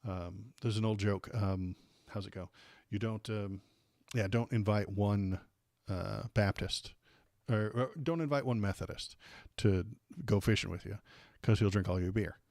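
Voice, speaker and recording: An American man hosting a casual political livestream, rambling and halting with repeated words, irreverent and sarcastic as he chats with viewers. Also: lowish voice, a little mellow, no room sound, studio quality. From United States. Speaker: livestream host. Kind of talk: casual political commentary, chatting with live viewers.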